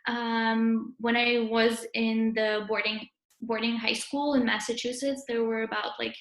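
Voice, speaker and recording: A woman's voice: on the high side, flat delivery, measured pace, a bit bright. A woman in her early twenties from Russia, a native Russian speaker, answering interview questions casually as a university student. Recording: roomy, echoey sound.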